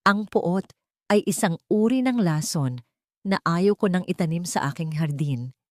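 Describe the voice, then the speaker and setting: A woman with a Filipino accent narrates a story in the first person, reflective and lyrical. Her voice sits very low for a woman. The sound is dry and close, with no room in it. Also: expressive, a little slow, no echo.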